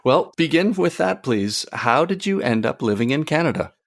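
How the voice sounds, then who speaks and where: A man's voice: on the high side, very animated, moderate pace, vocal fry. A man hosting an interview podcast, introducing and questioning in a conversational style.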